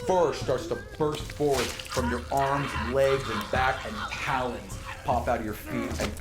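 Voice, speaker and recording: A man's voice: mid-range voice, steady tone, a little slow, over loud music. A man running a tabletop game as game master, describing scenes dramatically and voicing sound effects himself. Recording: roomy, with clear background noise.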